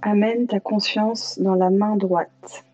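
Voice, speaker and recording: A woman leading a guided yoga nidra meditation as an instructor, calm and soothing, leaving long pauses. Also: medium pitch. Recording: slightly dull lo-fi sound, music behind. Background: music.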